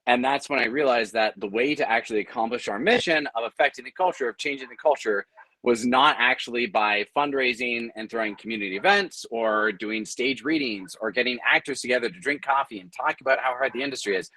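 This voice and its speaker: A man's voice: medium pitch, brisk, pushed and bright, no echo, poor audio. A man speaking casually as a panelist, telling anecdotes in a wry, self-deprecating way with emphatic repetition. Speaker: panelist, audio drama producer and company founder.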